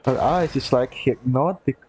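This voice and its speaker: A woman's voice: a bass voice, unhurried, darkish tone, a little hiss or hum, rough recording. A woman streamer giving casual, laid-back commentary over gameplay with amused asides. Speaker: gaming streamer.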